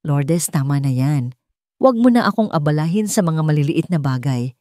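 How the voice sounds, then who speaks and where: A stern, wealthy head of household in an audio drama, put on by a woman. He sounds irritated and dismissive, pitched fairly low and recorded dry. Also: lively intonation, moderate pace, mellow, no room sound. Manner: curt, dismissive.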